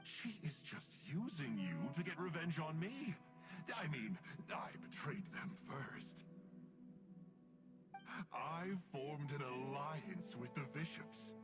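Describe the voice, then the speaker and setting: Sly young game traitor, flippant, teasing, slightly high voice, lively pitch, loud music behind. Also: male voice, slow pace. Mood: explaining. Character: sly young male Abyss Order traitor. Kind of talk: game voice acting.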